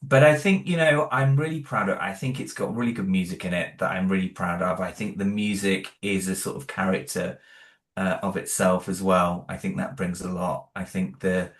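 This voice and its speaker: A man with a very low voice talks casually about his own creative work. He is self-deprecating and hesitant and stumbles over his words. His phrases trail off and restart. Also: steady tone, moderate pace. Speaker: audio drama creator, interview guest. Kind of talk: casual interview conversation.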